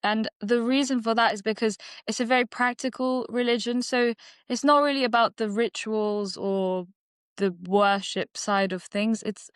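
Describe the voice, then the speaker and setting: Soft-spoken woman, smooth voice. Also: mid-range voice, no echo, studio quality. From United Kingdom. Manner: reflective, many fillers and restarts. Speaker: guest, dentist and singer-songwriter. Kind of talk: conversational interview.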